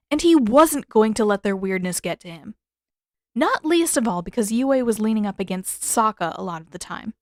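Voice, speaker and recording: A woman's voice: fairly high pitch, dry recording, clean studio sound. A woman reading scripted story narration as an audiobook narrator.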